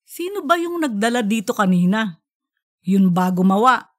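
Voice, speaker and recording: A woman's voice: medium pitch, very animated, moderate pace. A woman voicing an easygoing, teasing father in a colloquial audiobook story. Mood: weary, exasperated. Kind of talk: audiobook character dialogue.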